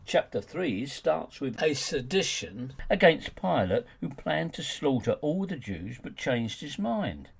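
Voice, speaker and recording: Gravelly, animated male audiobook narrator. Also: fairly high pitch, unhurried. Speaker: author reading own audiobook. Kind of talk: scripted narration, polemical nonfiction audiobook.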